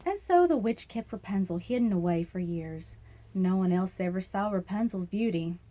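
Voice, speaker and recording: A woman's voice: medium pitch, expressive, moderate pace, dark tone. A woman narrating a children's fairy tale as a storyteller, reading aloud expressively.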